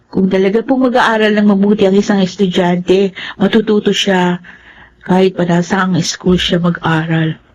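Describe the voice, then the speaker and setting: An actress playing a devoted, respectful young daughter in a Filipino radio drama, with a Filipino accent. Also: female voice, medium pitch, fairly even intonation, normal speed, darkish tone, dull audio, a little hiss or hum, close to an everyday voice. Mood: earnest refusal. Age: young adult. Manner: respectful, earnest. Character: devoted, earnest young Filipina daughter, student turned bride.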